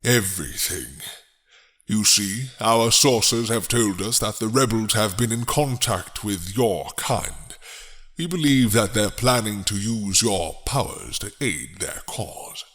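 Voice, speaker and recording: A man voicing a grave, suspicious king in a dramatic roleplay, stately, formal and deliberate. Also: lowish voice, lively intonation, fairly bright tone. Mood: grave, commanding.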